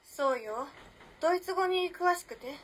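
A woman plays a young modern lady in a period radio drama. Her voice sits high, and she speaks with cool poise. She is playful and enigmatic, teasing in elegant, old-fashioned feminine phrasing. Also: animated, moderate pace, poor audio. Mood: playfully mysterious. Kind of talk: Japanese radio drama acting.

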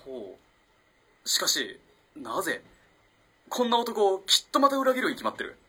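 Radio drama student, male, played by a man: eager and courteous, lively swoops in pitch, slightly rough husky tone. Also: moderate pace, heavily acted. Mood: thoughtful, earnest. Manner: polite, eager, hesitant. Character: young male student, polite, earnest, easily flustered. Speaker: actor in amateur radio drama. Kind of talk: Japanese radio drama acting.

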